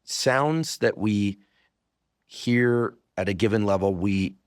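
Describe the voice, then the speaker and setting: Man, middle-aged, from the Bay Area, very deep voice, energetic storytelling host, dry close mic. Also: takes it slowly, dry recording. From San Francisco Bay Area, US. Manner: energetic, storytelling, rhetorical questions. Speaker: podcast host. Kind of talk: casual interview chat.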